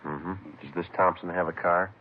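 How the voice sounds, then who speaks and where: Very deep-voiced, deadpan American police detective. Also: male voice, normal speed. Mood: deadpan, somber. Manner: deadpan, matter-of-fact, clipped. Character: terse, businesslike male police detective sergeant narrating his case. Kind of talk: 1950s radio drama acting, clipped scripted narration. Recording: muffled vintage radio recording with music behind.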